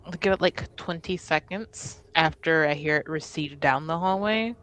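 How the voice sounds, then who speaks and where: A tabletop roleplaying player improvising casually in character, halting at times and easily given to giggles. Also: mid-range voice, a bit bright, poor audio. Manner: giggly, breaks into laughter.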